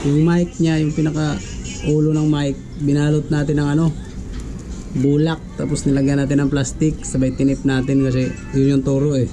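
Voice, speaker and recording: A man's voice: a little high, flat delivery, moderate pace, over music. A man talking casually as a vlogger walking viewers through a do-it-yourself tutorial. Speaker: vlogger giving a DIY tutorial.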